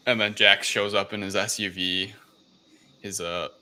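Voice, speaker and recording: A man's voice: on the low side, little pitch movement, unhurried, pushed and bright. A man co-hosting a casual film review chat, recapping scenes informally. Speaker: podcast co-host. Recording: clear background noise.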